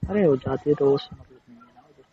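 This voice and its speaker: A man's voice: mid-range voice, quick pace, slightly rough, no echo. A man playing a regular client on a casual phone call, argumentative but caring, pressing his point and repeating himself with questioning tags. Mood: insistent, irritated. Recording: muffled, noisy phone-call recording with clicks.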